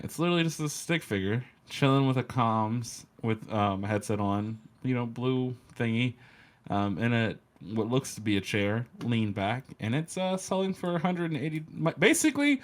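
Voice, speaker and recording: A man's voice: medium pitch, animated, normal speed. A man chatting casually and profanely as a podcast panelist. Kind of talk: casual profane group chat.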